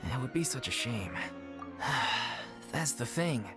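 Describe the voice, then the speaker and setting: A man's voice: mid-range voice, animated, takes it slowly. A man voicing an earnest, modest organizer of an amateur theater troupe in a video game, polite, humble and a little hesitant. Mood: resigned. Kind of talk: animated game dialogue acting. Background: background music.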